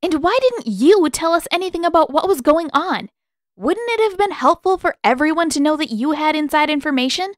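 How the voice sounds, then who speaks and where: A woman's voice: high-pitched, animated, a little fast, no echo, studio quality, voice pushed up. A woman voicing a fiery, indignant teenage girl who speaks sharply and accusingly in a storybook reading.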